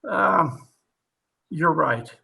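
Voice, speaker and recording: A man playing a stern, frustrated police captain in an old time radio drama, speaking with deliberate authority, recorded over a video call. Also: a little high, expressive, gravelly, sharp tone, heavily acted, rough recording. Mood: stern, weary.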